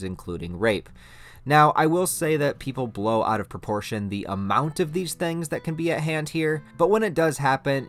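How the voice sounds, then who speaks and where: A man hosting a video essay, explaining things in an emphatic, conversational way with scripted commentary. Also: fairly low pitch. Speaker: video host reviewing anime.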